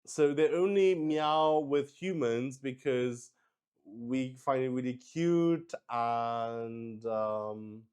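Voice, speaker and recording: Slow-paced man, casual and hesitant. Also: mid-range voice, steady tone, a little mellow, smooth. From Luxembourg. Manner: hesitant, thinking aloud. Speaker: solo roleplay stream player. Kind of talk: casual improvised chat while playing.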